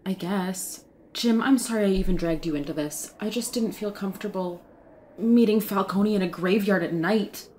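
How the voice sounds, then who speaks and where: A woman's voice: medium pitch, moderate pace, darkish tone, a little hiss or hum, natural voice. A woman playing an assertive but slightly awkward prosecutor in a comic book fan dub, frank and conversational. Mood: apologetic, awkward.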